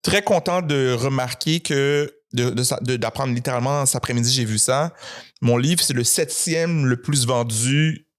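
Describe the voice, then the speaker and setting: A man, a podcast guest and author, speaking in a thoughtful, measured way with frequent hesitations. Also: medium pitch. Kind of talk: casual conversation.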